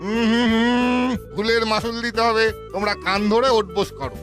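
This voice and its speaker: A woman voicing a crafty, stern male shopkeeper in a children's cartoon, with a halting, repetitive, scolding manner. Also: a little high, fairly even intonation, soft background music, close to an everyday voice. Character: crafty, stern adult male snack-shop keeper.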